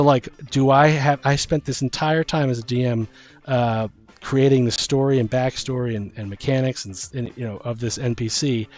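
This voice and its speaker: A man with an average-pitched voice talks casually as a gaming podcast co-host. He rambles and pauses mid-thought, restarting as he works ideas out aloud, with music faintly behind him. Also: darkish tone. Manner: rambling, halting, thinking aloud. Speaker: podcast co-host and game master. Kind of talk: casual chat about tabletop gaming. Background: background music.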